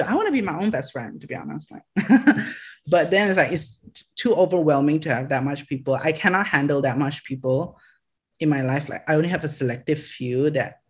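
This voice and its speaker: A young man with a slightly raised pitch. He chats loosely in an interview, stringing thoughts together with "like" and breaking into laughs. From Malaysia. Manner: rambling, constant "like" fillers, laughs often. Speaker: social media creator, guest. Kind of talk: casual interview chat.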